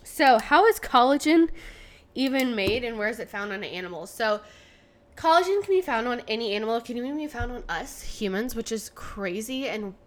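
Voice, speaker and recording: A woman's voice: on the high side, animated, normal speed. A young woman hosting a casual solo podcast, sounding nasal and congested from allergies, chatty with many fillers. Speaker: podcast host.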